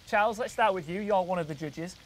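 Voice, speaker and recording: A man's voice: high voice, a little fast. Upbeat male TV presenter. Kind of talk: TV documentary interviewing.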